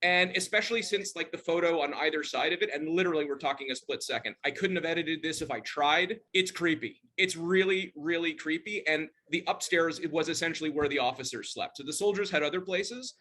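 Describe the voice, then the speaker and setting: A man's voice: a little high, fairly quick, hardly any pauses, sharp tone. A middle-aged man, casually telling a personal story on a video call. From Montreal, Canada. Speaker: club member telling a personal ghost story.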